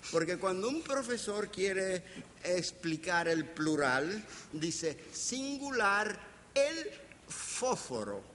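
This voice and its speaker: A reverberant hall carries the voice of an older male professor with a Caribbean Spanish accent, over some faint noise. His voice sits a little high for a man. He lectures slowly and deliberately, with pauses and playful, anecdotal turns. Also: fairly bright tone. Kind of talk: anecdotal academic lecture to an audience. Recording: reverberant hall, background hiss.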